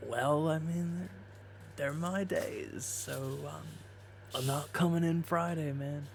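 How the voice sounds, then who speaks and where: Man playing a slow-talking stubborn slacker employee. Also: a little high, vocal fry, a little hiss or hum. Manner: hesitant, mumbly, stubborn. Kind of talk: audio roleplay dialogue.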